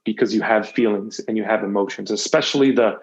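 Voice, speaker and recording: A man's voice: lowish voice, fast talker. Earnest man in dull, lo-fi audio. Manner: earnest, emphatic, repeats words and phrases. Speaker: podcast guest, motivational coach. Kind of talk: casual conversational interview talk.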